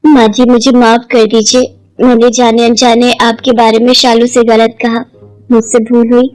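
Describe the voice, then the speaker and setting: Lady doing young daughter-in-law in a cartoon story, high voice, emotional and emphatic, soft music behind. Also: level tone, normal speed, natural voice, low-quality recording. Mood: apologetic, affectionate.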